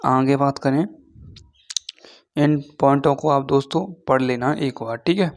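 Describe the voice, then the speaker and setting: A man giving an informal explainer lesson as an online teacher, reading through points haltingly and often checking in with short tag words. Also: medium pitch, fairly even intonation. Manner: switches between Hindi and halting read-out English.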